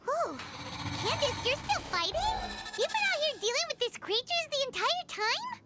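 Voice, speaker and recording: A woman's voice: very high voice, very animated, normal speed. A woman voicing a tiny, childlike fairy companion in a video game, inquisitive and full of exclamations. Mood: curious. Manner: exclamatory, questioning, wide-eyed. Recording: music behind the voice.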